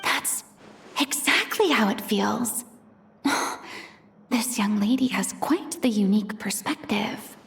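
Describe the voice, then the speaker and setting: A woman's voice: mid-range voice, lively intonation, takes it slowly, vocal fry, a bit bright, breathy edge, exaggerated voice. A woman playing a poised, friendly but guarded young lady of the film world in a video game. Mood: flustered.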